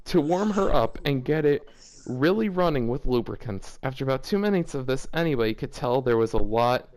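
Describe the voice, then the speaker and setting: A man's voice: medium pitch, expressive, fairly quick, a little mellow. A man hosting a casual read-aloud, often breaking off to comment in amused, exasperated disbelief. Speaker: host reading fan fiction aloud for a video.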